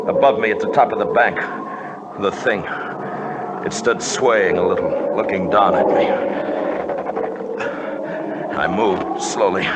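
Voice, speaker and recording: A man acting a shaken, haunted adventurer in a 1950s radio drama, narrating with halting, suspenseful intensity. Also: fairly low pitch, very noisy. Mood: tense, fearful. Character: shaken adventurer recounting terror in the snow, grim and haunted.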